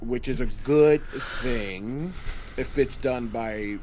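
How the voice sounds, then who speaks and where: A man at a tabletop game, thinking out loud. He speaks slowly and deliberately, in a low-key, considering way. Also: background noise. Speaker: player.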